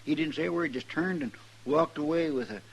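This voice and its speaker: An actor playing a folksy, excitable marshal's sidekick in an old radio western, with a homespun, drawn-out way of telling things. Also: male voice, fairly high pitch, gravelly, very noisy. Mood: upset. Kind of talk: 1950s radio western acting.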